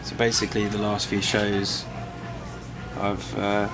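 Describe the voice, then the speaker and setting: A man talks through a tour diary while nursing a head cold, and his voice is hoarse and worn. He speaks in an easygoing, self-deprecating way, stumbling over fillers as he goes. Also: on the low side, monotone, unhurried, music in the background. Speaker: band member filming tour diary. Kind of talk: casual tour vlog narration.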